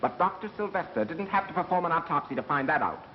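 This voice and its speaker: Through a muffled, hissy old film soundtrack comes a defiant radio engineer. He is brisk and assertive, and his voice swings animatedly in pitch. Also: animated. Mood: firm, challenging. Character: quick, defiant male radio engineer standing up to his bosses. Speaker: actor in a film. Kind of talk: 1930s mystery film acting.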